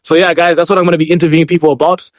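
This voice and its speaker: A young man from Zimbabwe hosts a street interview vlog, talking quickly and chattily. His voice sits on the higher side and sounds dry and close. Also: little pitch movement, no room sound. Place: outdoors on a street.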